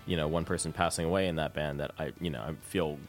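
A man's voice: extremely low pitch, fairly even intonation, fast, soft background music. A middle-aged man hosting a casual, conversational interview, meandering and hesitant in his phrasing. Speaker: radio show host, interviewer.

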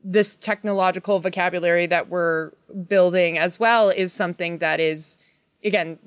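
A woman's voice: on the low side, fairly even intonation, normal speed, smooth, no room sound. Earnest woman guest, dry close sound. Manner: earnest, wordy, searching for words with restarts. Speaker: podcast guest, performer. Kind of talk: casual, reflective podcast conversation.

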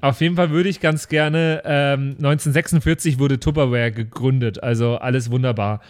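A man's voice: mid-range voice, steady tone, fairly quick. A man playing a tabletop role-playing game, casually improvising his character's actions and talk.

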